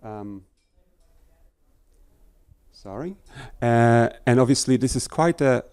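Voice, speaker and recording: A middle-aged man from Poland, a researcher speaking to an audience, halting with many fillers and restarts. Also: mid-range voice, a little mellow, clean tone, dry recording, studio quality. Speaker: agricultural researcher. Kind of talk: talk to an audience. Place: festival tent.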